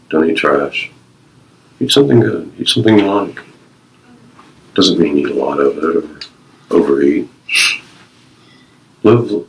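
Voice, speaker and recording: A man's voice: deep voice, rough recording. A man in his fifties, a retired Navy veteran being interviewed, sharing personal stories and life advice earnestly in short, emphatic, repeated phrases. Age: 50s.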